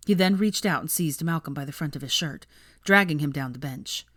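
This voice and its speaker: A woman narrates fan fiction in a low voice. Her delivery is scripted and expressive, built for dramatic storytelling. The recording is dry, with very little room sound. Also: a little fast, no room sound.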